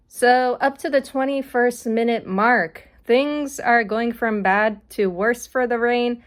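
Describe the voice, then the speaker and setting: A young woman hosting her own sports podcast, casually reviewing a soccer match with many fillers, her voice still recovering from an illness. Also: a little high. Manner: casual, filler-heavy delivery, reads lineups quickly. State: recovering from voice loss.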